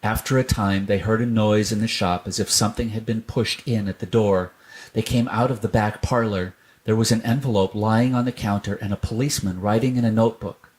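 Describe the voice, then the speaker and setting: A man's voice: on the low side, steady tone, fairly quick, darkish tone. A man narrating a children's story as a scripted storyteller.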